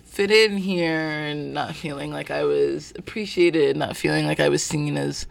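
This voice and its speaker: A man being interviewed as a guest about his work, speaking in a thoughtful, searching way with many pauses and fillers. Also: fairly high pitch, steady tone, gravelly. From born in North Carolina, family from Zimbabwe. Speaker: guest, performer of a show. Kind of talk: reflective interview talk.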